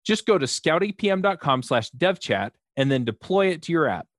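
A man reading a sponsor advertisement in a conversational, pitching manner. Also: big pitch swings, normal speed, no room sound, clean studio sound.

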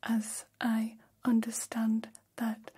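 A curious, gentle female alien played by a woman murmurs in a soft, breathy whisper for ASMR. The pace is extremely slow and the tone stays even and mellow. Phrasing is formal and careful, as if studying humans kindly. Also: fairly high pitch, full character voice. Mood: tender, soothing. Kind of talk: ASMR personal attention roleplay.

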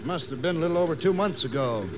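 An actor playing a courteous, matter-of-fact military colonel in an old radio drama, formal and deliberate. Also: male voice, fairly high pitch, expressive, close to an everyday voice. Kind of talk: 1950s radio drama acting. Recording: noisy 1950s radio recording.

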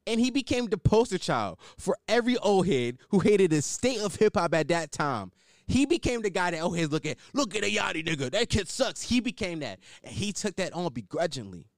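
A young man hosting a solo podcast, blunt and opinionated, swearing and riffing off the cuff, with a higher-pitched voice. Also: dry recording, clean studio sound. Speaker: podcast host.

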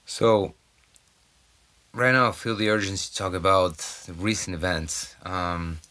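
Brazilian male podcast host, low voice, bright edge, loose halting monologue on a phone mic. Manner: rambling, halting, with many fillers and restarts. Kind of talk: casual solo podcast monologue. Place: off-grid home in the mountains. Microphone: cell phone.

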